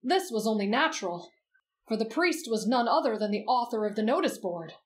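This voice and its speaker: A woman voicing a gentle, earnest, patient teenage boy in an anime bedtime-story roleplay. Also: a little high, animated, fairly quick, lightly acted. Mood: patient storytelling.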